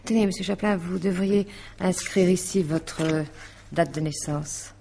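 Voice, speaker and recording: An actress playing a composed, persuasive astrologer in a French radio drama, speaking in a measured, explanatory way. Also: female voice, on the low side, gravelly, warm tone, a little hiss or hum. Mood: assured. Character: composed, persuasive female astrologer consulting a client. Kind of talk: 1950s-style French radio drama acting.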